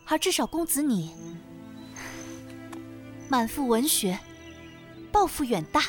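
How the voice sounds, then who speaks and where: A woman's voice: high-pitched, sing-song, slow pace, soft background music, heavily acted. An actress playing a poised, perceptive young lady with a formal, knowing manner in an audio drama. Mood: earnest.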